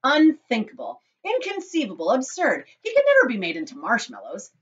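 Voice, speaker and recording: A woman's voice: medium pitch, wildly swooping pitch, fairly quick, fairly bright tone, highs cut off, voice pushed up. A woman voicing an eccentric, gleeful male chocolate-factory owner in a storybook, breezy, emphatic and dismissive. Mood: emphatic dismissal.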